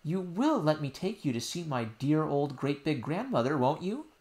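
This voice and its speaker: A man's voice: fairly high pitch, sing-song, normal speed, a little mellow, pitched above the performer's own voice. A man voicing an upset young girl princess, earnest and proud, in a storybook reading. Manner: earnest, pleading. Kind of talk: audiobook character voice.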